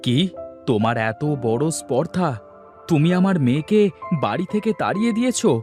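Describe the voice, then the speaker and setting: A man's voice: fairly high pitch, sing-song, brisk, a little mellow, soft background music. A stern worried father. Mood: angry. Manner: stern and upset. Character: worried, angry village father.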